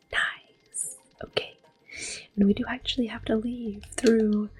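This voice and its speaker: A woman giving gentle, mostly whispered ASMR commentary as she hosts a video game playthrough. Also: fairly high pitch, breathy edge, poor audio. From Europe. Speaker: host of a gameplay series. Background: quiet game music and controller clicks.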